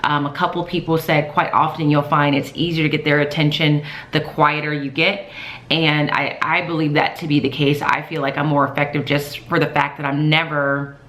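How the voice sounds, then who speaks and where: Soft-spoken low-voiced woman, echoey room. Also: normal speed. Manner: soft-spoken, calm, lots of fillers. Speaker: teacher vlogger. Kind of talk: casual vlog talk.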